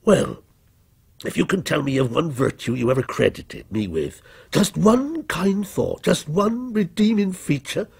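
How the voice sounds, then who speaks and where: Storybook villain, acted by a male narrator: criminal dressed as policeman, deliberate, taunting menace, rough husky tone, wide swings in pitch. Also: sing-song, exaggerated voice. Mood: threatening. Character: menacing, vengeful male fictional criminal come to life as a policeman.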